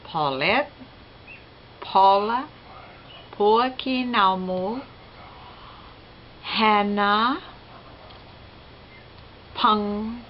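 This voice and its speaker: A woman in her seventies from Hawaii answering interview questions off the cuff in short, measured phrases. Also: medium pitch. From Hawaii, United States. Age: 70s. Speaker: interviewee, retired school teacher. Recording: dull sound with faint background noise.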